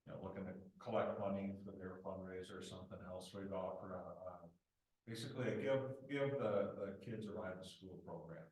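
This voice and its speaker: Male marshal, lowish loud voice, halting report, distant mic in a boomy meeting room. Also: steady tone. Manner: plain, halting, many uh fillers. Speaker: village marshal. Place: village committee meeting room. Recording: very echoey room, voice from far off.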